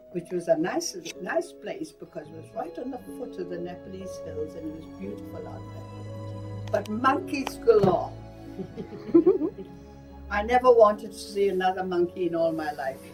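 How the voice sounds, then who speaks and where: A woman's voice: medium pitch, a little mellow, low-quality recording. An older woman from Burma giving a personal reminiscence in a plain-spoken, emphatic way. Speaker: interviewee recalling wartime life.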